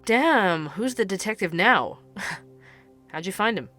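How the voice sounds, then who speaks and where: A man's voice: high voice, expressive, slow pace, pushed and bright, heavily acted. An actor plays an earnest young male podcast investigator in an audio drama. He is curious and a little wry, speaking conversationally with music underneath. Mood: impressed, grateful. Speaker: podcast host narrator. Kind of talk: audio drama narration and dialogue.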